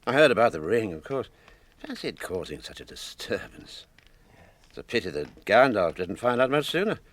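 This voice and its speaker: A man's voice: lowish voice, sing-song, normal speed, a bit bright. A man acting an elderly, chatty, old-fashioned hobbit with a British accent in a radio drama. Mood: cheerful, chatty.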